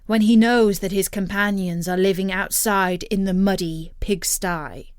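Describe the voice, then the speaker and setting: A woman's voice: mid-range voice, steady tone, measured pace, smooth, no room sound, clean studio sound, close to an everyday voice. A woman voicing a noble, earnest male Greek hero in a storybook, speaking in a formal, declamatory way. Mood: reproachful. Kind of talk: storybook character voice.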